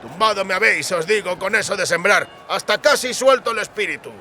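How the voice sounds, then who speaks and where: A man's voice: sits high, lively intonation, a little fast, bright, forceful tone, a little hiss or hum, voice pushed up. A man voicing a brash, jovial mercenary soldier with a hearty, folksy way of talking in an audiobook. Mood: amused, brash. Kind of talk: audiobook character acting.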